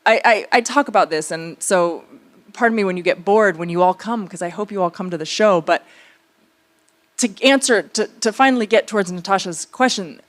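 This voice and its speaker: A woman with a medium-pitched voice speaks at a public talk as an artist. She tells stories conversationally and reflectively, feeling her way through each thought, pausing and circling back as she goes. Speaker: theater artist speaking on a panel.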